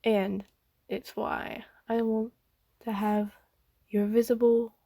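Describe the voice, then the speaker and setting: Woman voicing a slow, hushed, doting vampire noblewoman. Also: on the high side, little pitch movement, gravelly, no room sound, clean studio sound, heavily acted. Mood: calm, coaxing. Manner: soft-spoken, half-whispered, gently formal, coaxing. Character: kind, doting aristocratic female vampire owner. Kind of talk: ASMR roleplay monologue.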